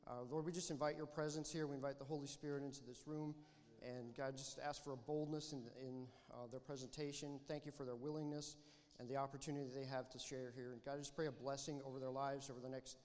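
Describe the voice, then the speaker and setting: A man hosting a church service, giving a welcome and a prayer in a halting way with many fillers. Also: mid-range voice, a little mellow. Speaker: church host leading a service. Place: church sanctuary. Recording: long reverb of a church hall.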